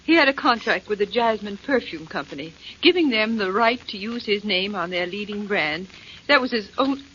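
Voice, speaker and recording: A woman acts a reserved, evasive widow in an old radio mystery. She answers politely and reluctantly in a mid-pitched voice. Faint hiss and a dull tone mark the broadcast. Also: expressive, normal speed, a bit bright, lightly acted. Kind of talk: 1940s radio detective drama acting. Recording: muffled, hissy 1940s radio broadcast.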